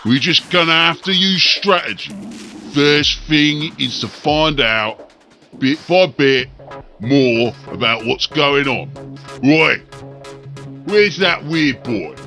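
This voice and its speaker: A man voicing a gruff, bossy, scheming ork warboss who talks in rough slang, in an audiobook story. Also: fairly high pitch, expressive, slow pace, bright, forceful tone, soft background music, pitched above the performer's own voice, heavily acted. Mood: commanding. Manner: rough slangy commands, muttered.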